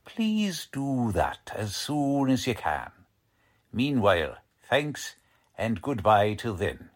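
Cocky, swooping 1940s police lieutenant. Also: male voice, medium pitch, huge pitch swings, slow, exaggerated voice. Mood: businesslike. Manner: brusque, slangy, ungrammatical tough-cop talk. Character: cocky, condescending male police lieutenant, brusque 1940s gumshoe. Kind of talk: 1940s radio drama acting.

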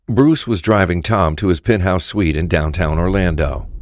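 A man's voice: low-pitched, normal speed. A man reading scripted narration for a crime audio drama.

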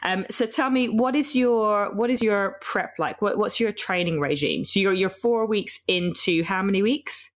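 A woman hosting a podcast with a croaky voice, chatting casually with lots of ums and restarts. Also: medium pitch, normal speed, few pauses. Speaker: podcast host. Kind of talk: casual interview chat.

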